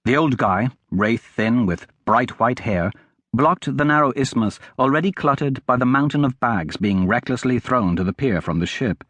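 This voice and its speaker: Expressive male audiobook narrator, close and dry. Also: medium pitch, dry recording. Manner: measured, dramatic storytelling. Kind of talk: scripted fantasy audiobook narration.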